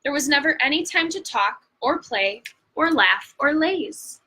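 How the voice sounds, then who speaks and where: Bright, unhurried woman reading a bedtime story. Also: high-pitched, expressive. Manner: draws out words for emphasis. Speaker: picture-book reader. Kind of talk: bedtime story read-aloud with asides to viewers.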